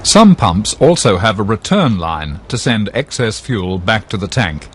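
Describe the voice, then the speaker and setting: A man narrating an educational film in a scripted, measured and precise explanatory manner. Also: lowish voice, big pitch swings, a bit bright, slight background noise.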